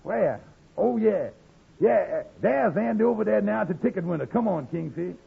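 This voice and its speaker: High, gravelly, earnest radio sitcom friend. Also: male voice, expressive, normal speed, heavily acted. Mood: coaxing. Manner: hesitant, coaxing. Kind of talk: 1940s radio comedy acting. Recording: thin phone-like old radio recording.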